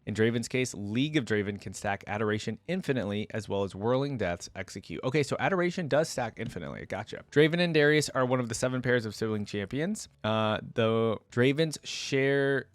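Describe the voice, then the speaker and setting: A man's voice: mid-range voice, lively intonation, brisk, hardly any pauses, no room sound. A man hosting a reaction video, commenting casually and informally as he thinks aloud.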